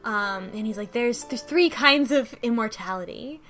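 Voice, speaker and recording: Higher-voiced woman in casual, halting recap. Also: fairly bright tone, faint music behind. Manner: halting, with false starts and swearing. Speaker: podcast co-host recapping a story. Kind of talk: casual conversational plot recap.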